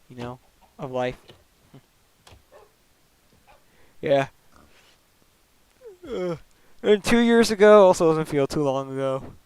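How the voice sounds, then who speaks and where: A young man streaming a video game, chatting casually and rambling with lots of "like" fillers and quick laughs, into a desk microphone at home. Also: on the high side, darkish tone, clear, resonant tone, poor audio. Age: young adult. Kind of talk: casual chat while gaming.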